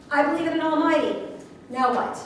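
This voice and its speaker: Bereaved, embittered woman, played by an actress, blunt and scornful, higher voice, stage drama, heard from afar. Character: bitter, skeptical bereaved woman confronting her partner's killer. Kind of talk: staged play reading. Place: echoey room at a staged reading, far mic. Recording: very echoey, distant, faint room noise.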